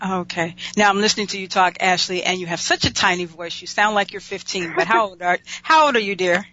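A woman's voice: mid-range voice, fairly quick, sharp tone, dull audio. A woman hosting an interview show, chatty and enthusiastic, often repeating her words. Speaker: talk show host.

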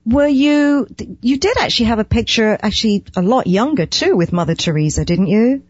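A woman's voice: fairly high pitch, animated, moderate pace, slightly rough, no room sound. Woman interviewer with slightly dull, dry sound. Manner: appreciative, encouraging. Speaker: interview show host. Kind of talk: conversational interview.

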